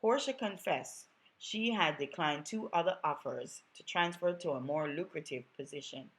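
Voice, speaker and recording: A woman's voice: deep voice, normal speed, rough recording. A Jamaican woman who is a little under the weather, reading her own novel aloud in a chatty, enthusiastic way. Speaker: author.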